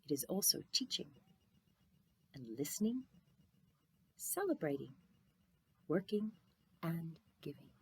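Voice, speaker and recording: A woman voicing a wise, calm old man, a mysterious traveler in a storybook. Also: slow, long pauses, slightly rough, exaggerated voice. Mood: gently admonishing. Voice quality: deep, strong voice as narrated.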